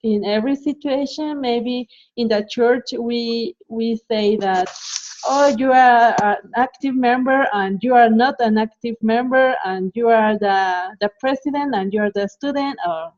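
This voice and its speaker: A woman's voice: a little high, measured pace, a little mellow. Hesitant woman from Mexico, dull audio. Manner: hesitant, many uh fillers, restarts. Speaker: student in group discussion. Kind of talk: casual discussion.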